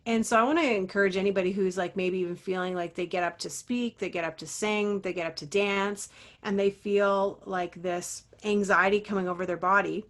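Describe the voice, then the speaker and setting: A woman's voice: medium pitch, fairly even intonation, brisk. Earnest, encouraging woman voice coach. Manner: earnest, encouraging, many fillers. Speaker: guest, voice teacher and success coach. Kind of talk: interview talk.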